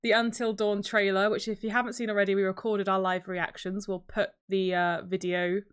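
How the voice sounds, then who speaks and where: A woman's voice: mid-range voice, flat delivery, a little fast, smooth. Casual, chatty woman podcast host. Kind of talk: casual chat.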